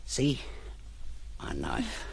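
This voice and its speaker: An actor in an audio drama playing a cunning, menacing ruffian henchman with rough, slangy, muttering speech that drops into whispers. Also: medium pitch, huge pitch swings, drawn-out words, heavily acted. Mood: wheedling. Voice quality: gravelly. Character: cunning, menacing cockney-style ruffian henchman, excitable and wheedling.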